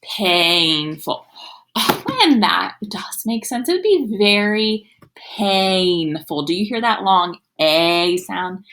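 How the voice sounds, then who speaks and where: A woman's voice: mid-range voice, expressive, slow pace, fairly bright tone, a little hiss or hum. A woman teacher reading aloud to young children, explaining as she goes in an instructive way and sounding out words. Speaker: elementary school teacher.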